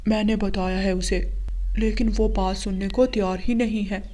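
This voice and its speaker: Cartoon father bird, voiced by a woman: troubled, subdued, plain-spoken, medium pitch. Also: steady tone, moderate pace, dark tone, close to an everyday voice. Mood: worried.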